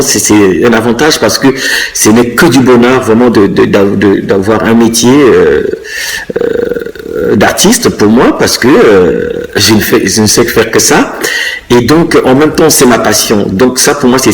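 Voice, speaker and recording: A man in a fairly low voice. He answers interview questions in a relaxed, thoughtful way. He stops often to find the right word before going on. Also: poor audio. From Congo. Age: 50s. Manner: thoughtful, pausing often to search for words. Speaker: interviewee, dancer and choreographer.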